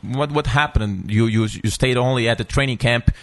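A man's voice: mid-range voice, moderate pace, a bit bright. A man interviewing a guest, asking questions with hesitant, stammering starts.

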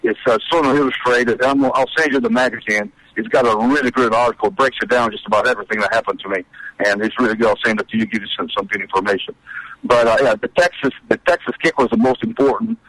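A man in his sixties from Brazil with a Brazilian Portuguese accent, a guest recalling his playing days in a casual interview, halting with many repeats and restarts. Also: mid-range voice, animated, fairly bright tone, no room sound, low-quality recording. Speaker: guest, former college kicker.

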